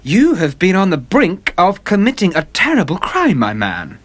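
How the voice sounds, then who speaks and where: A man voicing a refined, haughty young gentleman with languid, upper-class speech, set a little above his own voice. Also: medium pitch, sing-song, moderate pace, dry recording. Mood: stern. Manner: languid and aristocratic, turning panicked.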